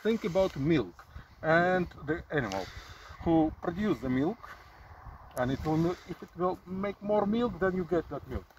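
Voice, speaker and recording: A man in his forties with a Russian accent, talking in halting English and reciting poetry slowly and dramatically in an open field outdoors, recorded by a camera a few meters off. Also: fairly high pitch, animated, darkish tone. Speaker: performance artist. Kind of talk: deadpan comic monologue with recited poetry. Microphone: camera mic at a few meters. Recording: distant outdoor mic, faint field noise.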